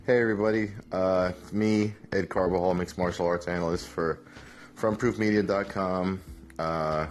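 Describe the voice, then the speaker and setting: A man, hungover, hosting a casual solo podcast recap in a relaxed, rambling way with many fillers. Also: sits low, moderate pace. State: hungover, rough voice. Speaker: podcast host, MMA analyst.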